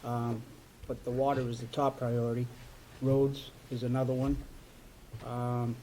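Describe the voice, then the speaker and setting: A hesitant man answers in a flat, even voice at a town forum. Faint room noise sits behind him. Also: mid-range voice, measured pace, poor audio. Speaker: town board candidate.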